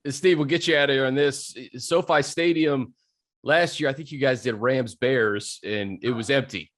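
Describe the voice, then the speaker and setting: A man's voice: medium pitch, steady tone, normal speed, fairly bright tone. A man hosting a sports podcast, asking the guest questions and closing the show with a friendly sign-off. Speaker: podcast host. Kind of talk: casual interview and show outro.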